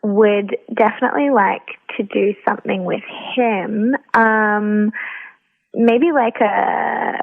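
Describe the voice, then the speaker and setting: A woman being interviewed, chatting informally and pausing to think as she answers. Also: mid-range voice, fairly even intonation, gravelly. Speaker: interview guest, actor and writer. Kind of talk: casual chat. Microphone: telephone. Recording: tinny, narrow phone line.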